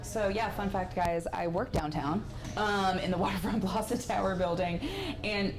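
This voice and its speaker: A woman's voice: mid-range voice, fairly even intonation, moderate pace. An American woman interviewing casually on a livestream, with an effusive, encouraging, informal way of talking. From Kentucky, United States. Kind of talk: casual live interview chat.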